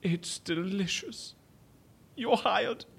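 A man's voice: sits high, very slow, vocal fry, a bit bright, slightly rough, full character voice. A man playing an eccentric, fussy, cocoa-loving boss in an audio comedy, theatrical, exclamatory and wordy. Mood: demanding, delighted. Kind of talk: audio comedy drama acting.

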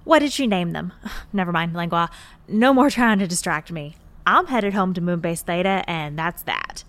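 A woman's voice: lowish voice, expressive, moderate pace, fairly bright tone. A woman playing a stubborn, teasing moonbase worker in a naturalistic audio drama, colloquial and feisty. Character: wisecracking, stubborn, gruffly fond injured female moonbase worker.

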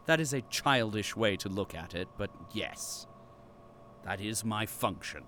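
A man's voice: fairly low pitch, animated, measured pace, bright, forceful tone, slight background noise. A man playing a pompous captive archivist and company loyalist in an audio drama, with formal, grandiose diction. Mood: haughty defiance.

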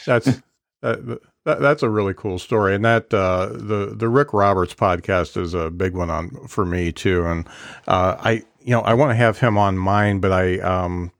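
A man in his fifties hosts a live-streamed podcast in a somewhat low voice. The sound is dry and close, with no room echo. He talks in a halting way, full of ums and restarts. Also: gravelly. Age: 50s. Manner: hesitant, many fillers and restarts. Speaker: podcast host. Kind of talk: casual interview chat.

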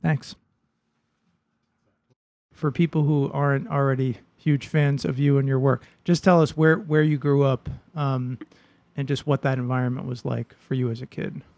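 Gravelly-voiced man hosting a podcast. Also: mid-range voice, fairly even intonation, normal speed, a little mellow, no room sound. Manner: chatty, loose phrasing with many fillers. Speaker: podcast host. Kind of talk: casual conversational interviewing.

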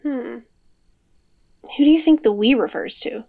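A woman's voice: fairly high pitch, unhurried, gravelly, dry recording. A woman acting as a casual, conversational character on a phone call in an audio drama, in a naturalistic style. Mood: curious. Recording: muffled, thin phone-like audio.

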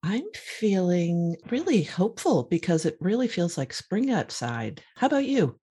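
A middle-aged woman with a gravelly morning voice, co-hosting a casual podcast chat in a warm, reflective way. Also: on the low side, sing-song, moderate pace. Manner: warm, reflective, asks questions. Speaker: podcast co-host.

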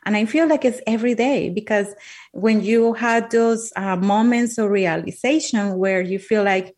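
A woman from Colombia speaks English with a Colombian Spanish accent. She talks earnestly and emphatically, repeating words as she gathers her thoughts. Her voice sits at a medium pitch. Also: normal speed, a little mellow. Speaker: guest. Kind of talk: heartfelt personal storytelling.

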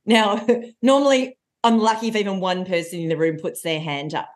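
A woman, a coach telling stories in a podcast interview with a loud, lively voice. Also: mid-range voice, moderate pace. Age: 40s. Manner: lively storyteller. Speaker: coach and trainer.

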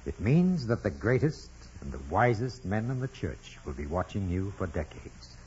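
An actor playing an earnest, forceful parish priest, fatherly and formal, with emphatic delivery in 1940s radio drama style. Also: on the low side, big pitch swings, normal speed. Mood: fatherly, solemn. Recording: muffled, noisy vintage radio broadcast.

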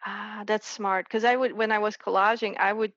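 A woman's voice: mid-range voice, level tone, a bit bright, no echo, muffled recording. A woman from the Netherlands, a guest artist chatting casually in an interview, full of restarts and fillers. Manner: chatty, many restarts and fillers, quick laughs.

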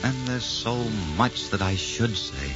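An actor playing a suave, daring French barber who poses as a duke, gallant, teasing and theatrical in 1940s radio drama style. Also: male voice, medium pitch, lively intonation, a little slow, close to an everyday voice. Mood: tender, romantic. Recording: dull 1940s radio recording with music.

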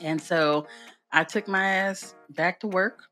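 A woman's voice: fairly low pitch, slow pace, sharp tone, soft background music. A woman entrepreneur guest telling her story in a candid, colloquial way, laughing often and driving points home with emphasis. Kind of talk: casual storytelling chat.

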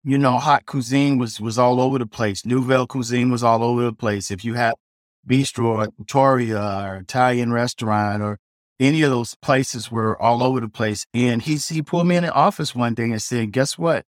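A man's voice: mid-range voice, normal speed, no echo, clean studio sound. A middle-aged man, a chef, telling his story in a loose, rambling way, full of restarts and "you know" and blunt language. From South Side of Chicago. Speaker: chef guest. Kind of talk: casual storytelling interview.

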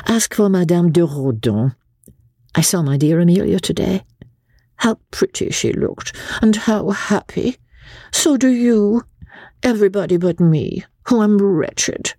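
A woman's voice: mid-range voice, animated, a little slow, dark tone, no room sound, studio quality, pitched above the performer's own voice. A woman voicing a wistful, sly little lady gambler from a storybook, coaxing and theatrical, with a foreign accent. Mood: wistful, pleading.

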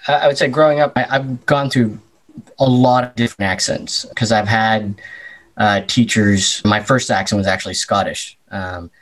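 A young man with an American Midwestern accent, a journalist chatting as an interview guest and telling stories in a lively, playful way. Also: on the low side, moderate pace, poor audio. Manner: animated, slips into playful voices and accents. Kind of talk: casual conversational storytelling.

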